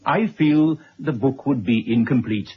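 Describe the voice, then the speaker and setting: A man playing an older, retired gentleman in a radio drama, polite, precise and gently fussy, with a husky voice. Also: medium pitch, measured pace, slight background noise, lightly acted. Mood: earnest, gentle. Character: courteous, fussy elderly retired man writing a memoir. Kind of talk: 1970s radio drama acting. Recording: dull vintage radio recording.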